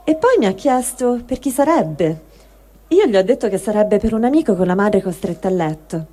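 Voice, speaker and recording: A woman's voice: medium pitch, very animated, moderate pace, warm tone. A woman giving a dramatic reading of a first-person written testimony. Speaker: reader of a translated testimony.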